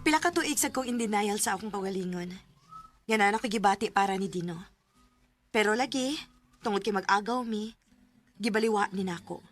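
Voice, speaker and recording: A woman's voice: mid-range voice, brisk, long pauses, close to an everyday voice. An actress playing a lovestruck young woman in a radio drama, emotive and earnest, with a Cebuano Filipino accent. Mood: reflective, happy. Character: lovestruck young woman pining for her supposed cousin. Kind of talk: Cebuano radio drama acting with first-person narration. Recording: radio drama with music bed.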